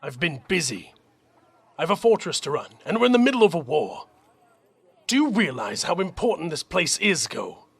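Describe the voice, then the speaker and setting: Guy acting a young fortress captain, earnest, husky creaky voice, audio drama. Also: fairly high pitch, very animated, moderate pace, exaggerated voice. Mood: firm, resolute. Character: dutiful young male army captain commanding a fortress.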